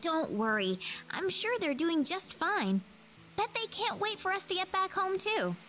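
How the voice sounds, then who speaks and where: A woman playing a gentle, hopeful young heroine in a video game, with a soft, earnest delivery. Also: very high voice, sing-song, measured pace, soft background music, exaggerated voice. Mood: reassuring. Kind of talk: English video game dub acting.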